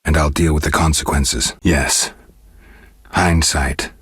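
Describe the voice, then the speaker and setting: Stoic middle-aged monster hunter, extremely low pitch, heavy husky rasp, laconic, world-weary, video game dialogue. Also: male voice, steady tone, slow pace, fairly bright tone, dry recording, exaggerated voice. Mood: flat, resolute. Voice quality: deep, gravelly, husky. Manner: dry, laconic, restrained delivery.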